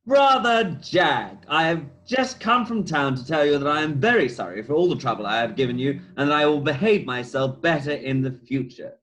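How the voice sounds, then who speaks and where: A man playing a smooth-talking young Victorian scoundrel feigning repentance in an amateur play reading. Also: medium pitch, quick pace, hardly any pauses, a bit bright, lightly acted. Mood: mock contrite. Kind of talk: amateur play read-through.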